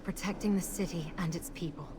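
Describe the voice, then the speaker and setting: A woman's voice: on the low side, fairly even intonation, brisk, close to an everyday voice. An actress playing a woman with lost memories who has sworn to protect her city, speaking earnestly and steadily. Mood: resolute. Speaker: game character, city protector ally. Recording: game audio with background noise.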